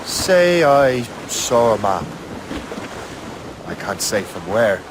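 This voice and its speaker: A brooding, sardonic Irish captain in a video game drama. He speaks in a mid-range voice, terse and dry, with clear sea and ship noise behind him. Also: mid-range voice, big pitch swings, takes it slowly. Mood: dry, wry. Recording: waves and ship ambience behind voice.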